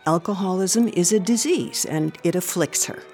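A woman acting in a radio drama as a concerned, compassionate society aunt, earnest and expressive in her delivery. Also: fairly low pitch, normal speed, faint music behind, lightly acted. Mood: earnest, soothing.

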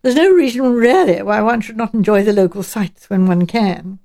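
A woman voicing a courteous, frank male English professor in an audiobook, speaking in a measured and direct way. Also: medium pitch, vocal fry, mellow, lightly acted.

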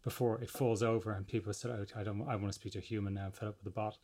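A man's voice: on the low side, fairly even intonation, rapid-fire, darkish tone, a little hiss or hum. A man hosting an interview podcast, putting questions in a conversational style.

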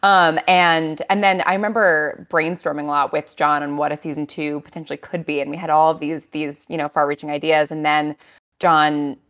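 Low-pitched woman on a writers' panel. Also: level tone, moderate pace, a bit bright. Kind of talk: casual panel talk.

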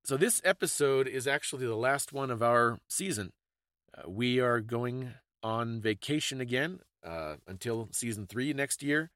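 A man's voice: mid-range voice, a little slow, a bit bright, dry recording. Male podcast co-host, reflective and conversational explainer, very dry recording.

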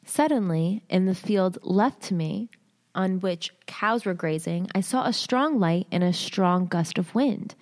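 A woman hosting a show, reading a listener's submitted story aloud in short halting phrases, then chatting candidly with casual slang. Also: on the low side, expressive. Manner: candid, uses swearing and slang. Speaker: host reading listener stories.

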